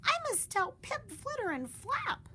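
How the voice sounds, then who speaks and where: A woman voicing a shy, earnest young female fruit bat in a high childlike voice. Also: big pitch swings, unhurried, bright, forceful tone, a little hiss or hum, exaggerated voice. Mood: excited.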